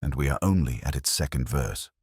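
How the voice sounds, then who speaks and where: A man acts out a brilliant gentleman detective for a mystery audiobook. The voice is extremely low and grave, with deliberate, incisive phrasing and theatrical swings of intonation. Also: lively intonation, breathy edge, dry recording, clean studio sound, heavily acted. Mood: grave, brooding.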